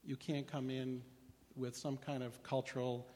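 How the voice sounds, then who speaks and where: A man's voice: mid-range voice, level tone, unhurried, darkish tone. A man speaking as a psychiatrist on a panel, emphatic and lecturing as he challenges the discussion. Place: large hall with an audience. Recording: long hall reverb.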